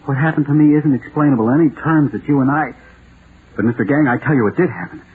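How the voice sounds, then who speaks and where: A man's voice: medium pitch, fast, close to an everyday voice. A man acts out a distraught murder suspect in a vintage radio play. He is intense and pleading, desperate to be believed. The sound is thin and phone-like with some noise behind it. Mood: haunted, earnest. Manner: pleading, intense, rising into hysteria. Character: distraught accused man insisting a monster is real. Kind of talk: old-time radio drama acting. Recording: muffled, noisy old radio recording.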